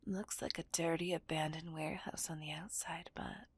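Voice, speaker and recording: A woman playing a menacing, dominant villain kidnapper in a whispered ASMR roleplay, commanding and mocking in a breathy hush close to the microphone. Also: deep voice, steady tone, moderate pace. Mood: smug, taunting.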